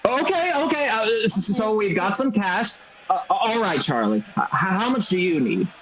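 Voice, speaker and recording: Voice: medium pitch, very animated, normal speed, a bit bright, low-quality recording. An actor playing an anxious, pleading young small-town loan man in a radio play, stammering and hesitant over a video call. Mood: placating. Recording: video call audio with background voices.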